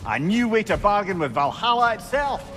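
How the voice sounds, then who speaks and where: High, animated, wry companion. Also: male voice, normal speed, music in the background. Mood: wry, curious. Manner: talkative, wry, expressive. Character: chatty, wry, wise male companion to a god. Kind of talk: video game cutscene acting.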